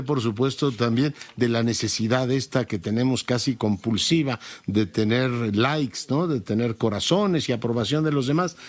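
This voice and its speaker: Male radio host, formal, courteous, middle pitch. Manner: formal, courteous, elaborate phrasing. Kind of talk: interview.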